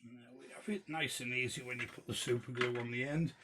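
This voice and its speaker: A man giving a casual hands-on repair tutorial in an unhurried, informal, step-by-step way. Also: medium pitch, steady tone, background noise. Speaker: toy repair demonstrator.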